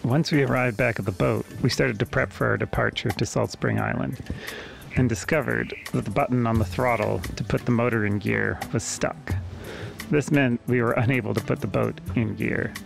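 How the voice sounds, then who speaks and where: A man calmly narrating a voice-over in a matter-of-fact way. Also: medium pitch, normal speed, creaky, faint music behind. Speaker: sailing vlogger co-host.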